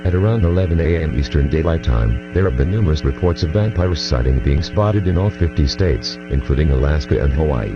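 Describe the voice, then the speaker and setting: A man's voice: a bass voice, lively intonation. A man playing a grave emergency alert announcer, reading a scripted broadcast formally and steadily, in dull audio over music. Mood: grave, official. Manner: formal, measured.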